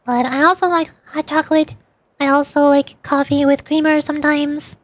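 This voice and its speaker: A woman's voice: high-pitched, level tone, moderate pace, no room sound. A woman streamer chatting casually and rambling, often giggling as she answers her chat.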